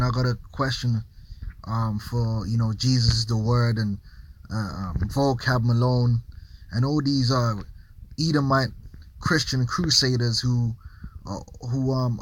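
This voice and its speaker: A man's voice: mid-range voice, poor audio. A man giving confrontational religious commentary as a Hebrew Israelite preacher, challenging and profane, with many repeated restarts.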